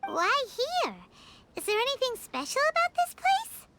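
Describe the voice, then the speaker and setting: A woman voicing a tiny, excitable female fairy companion in a video game, eager and blunt, full of exclamations and questions. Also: very high-pitched, measured pace, exaggerated voice. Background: game music.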